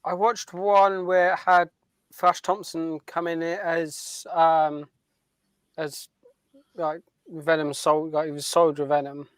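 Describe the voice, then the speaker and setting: A man co-hosting a podcast, chatting informally in a halting, casual way. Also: a little high, level tone, clean tone, dry recording. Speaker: podcast co-host. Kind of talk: casual chat.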